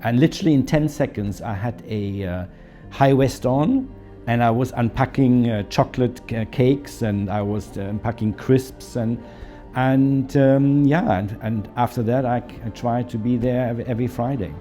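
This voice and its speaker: A man's voice: mid-range voice, normal speed, dark tone, soft background music. A man with a German accent, a hotel managing director, telling his story in an interview with hesitant fillers. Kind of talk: documentary interview.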